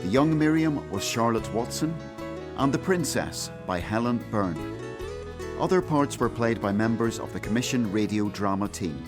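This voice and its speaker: A man's voice: medium pitch, animated, normal speed. A man reading scripted radio drama credits as an announcer. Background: plucked guitar music.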